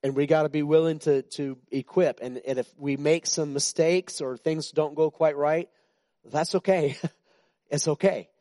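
A man's voice: fairly high pitch, fairly even intonation, a little slow, mellow, no echo, clean studio sound. A man in his sixties, a pastor giving an informal, chatty sermon to his church, joking with the congregation and often restarting his sentences. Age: 60s.

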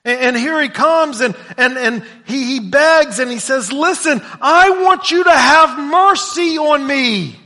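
A male preacher with a high, bright voice gives a sermon at an unhurried, deliberate pace. He drops to hushed low lines, then climbs to loud, shouted emphasis, and sometimes repeats words as he pushes on. His voice carries a long reverb, as if it fills a church hall. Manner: repeats words when stumbling.

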